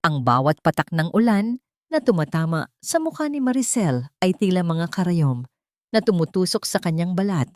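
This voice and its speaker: A woman's voice: lowish voice, very animated, moderate pace, no echo, clean studio sound. A woman narrating a scripted dramatic story in a melodramatic, emphatic manner.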